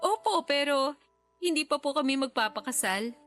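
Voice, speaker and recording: A young adult daughter in a radio drama speaks in a fairly high voice. She is respectful and eager, a woman with ambitions. Soft music sits behind her. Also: animated, moderate pace. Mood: playful, bashful. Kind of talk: Tagalog radio drama acting.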